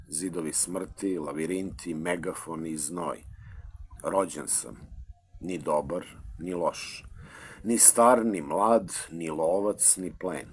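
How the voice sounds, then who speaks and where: A man with a Serbian accent introduces his poems haltingly, with plenty of filler sounds, then settles into a steady reading. His voice sits very low. He is a school librarian speaking from inside a library. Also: slight background noise. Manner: hesitant with many uh fillers, then steady recitation.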